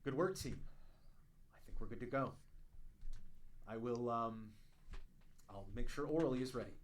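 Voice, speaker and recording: Man acting dutiful royal officer, mid-pitched. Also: unhurried, lightly acted. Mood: commanding. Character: dutiful male leader serving the king. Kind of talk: scripted audio drama acting.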